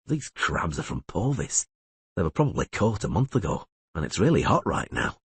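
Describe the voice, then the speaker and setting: A man voicing a gruff, wry witcher in an audiobook reading, in a husky voice with a low, deadpan manner. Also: normal speed, no room sound, heavily acted.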